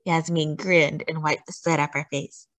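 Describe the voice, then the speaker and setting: A woman reading a children's storybook aloud in an expressive, acted-out way. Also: sits low, normal speed. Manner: expressive, acts out dialogue. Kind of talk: animated scripted storybook narration.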